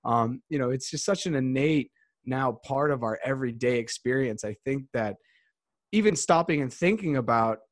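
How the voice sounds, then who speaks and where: A man's voice: mid-range voice, normal speed, no echo, studio quality. A man hosting a podcast in a casual conversation, talking in loose, rambling sentences full of restarts and fillers. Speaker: podcast host.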